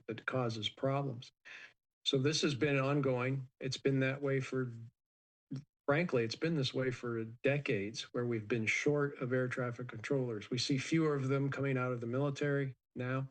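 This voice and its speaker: An American man speaking as an expert interviewee, giving unscripted commentary in a measured, matter-of-fact, explanatory way. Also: mid-range voice.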